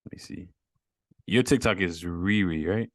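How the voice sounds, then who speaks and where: Deep-voiced upbeat male host. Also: measured pace, no echo, studio quality. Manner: upbeat, encouraging, slangy. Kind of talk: casual interview over a video call.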